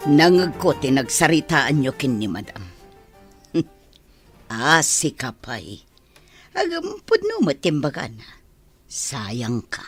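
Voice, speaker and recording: Lady doing a teasing older-rank maid, husky n swoopy, bit of music under it, radio comedy. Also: low-pitched, wildly swooping pitch, takes it slowly, pauses often, heavily acted. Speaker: radio drama actor. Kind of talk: Ilocano radio comedy drama acting.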